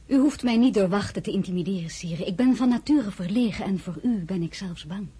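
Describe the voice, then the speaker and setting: An actress playing a shy yet defiant princess in a radio drama, answering politely and pointedly. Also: female voice, medium pitch, fairly quick, breathy edge. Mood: quietly defiant. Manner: polite, quietly pointed replies. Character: shy yet defiant princess, a marshal's wife at Napoleon's court. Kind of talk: Dutch radio drama dialogue.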